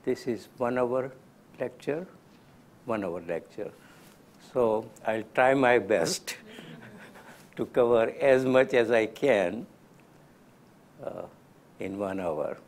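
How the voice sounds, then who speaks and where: Old man in his 90s, gujarati is his first language, talks real slow and gentle, chuckles now and then. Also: mid-range voice, slight background noise. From India. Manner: gentle, unhurried, with soft laughs. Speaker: lecturer. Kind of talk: spiritual talk.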